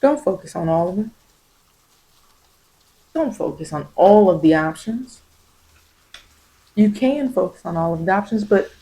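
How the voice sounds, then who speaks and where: A woman's voice: lowish voice, dark tone, rough recording. A woman improvising spiritual tarot guidance straight to the listener, encouraging and repeating phrases for emphasis. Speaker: tarot reader and ASMR host.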